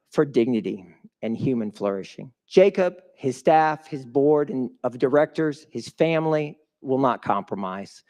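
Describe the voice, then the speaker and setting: A man's voice: medium pitch, darkish tone. A man giving a live motivational fundraiser speech as a guest speaker, emphatic and putting questions to the audience. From a developing country.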